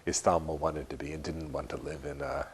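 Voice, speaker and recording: A man's voice: a bass voice, fairly even intonation, fairly quick, a little hiss or hum. An older man speaking reflectively in an interview, hesitant and rambling, often correcting himself. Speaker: interviewee, long-time resident.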